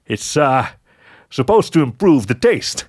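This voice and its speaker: A man's voice: mid-range voice, sing-song, measured pace, husky, voice pushed up. A man voicing a cheeky, flirty male fox who gets defensive and stammers playfully in an audio story. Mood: embarrassed. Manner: stammering, hesitant when embarrassed, playful.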